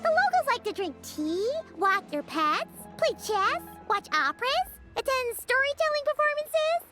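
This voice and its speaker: A woman voicing a tiny, childlike, chirpy fairy companion in a video game, with a perky, excitable manner. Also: very high-pitched, unhurried, exaggerated voice. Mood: eager, explaining. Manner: refers to self in third person, perky. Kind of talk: video game character acting. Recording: studio voice with prominent music behind.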